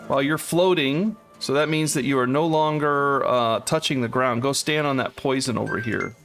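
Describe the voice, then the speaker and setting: A man's voice: medium pitch, moderate pace, fairly bright tone. A man co-hosting a let's play, chatting casually and reading item stats aloud as he plays. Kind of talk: casual gaming chat. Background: faint game music.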